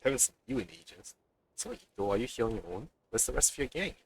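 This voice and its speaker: A man's voice: medium pitch, sing-song, fast, slight background noise. A man giving casual let's play commentary as he streams a game. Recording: streamer mic over game music. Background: game music.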